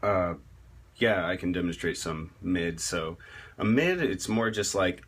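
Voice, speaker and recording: A man giving a casual spoken tutorial on metal vocal technique, rambling and halting with many fillers and restarts. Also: sits low, lively intonation, slightly rough.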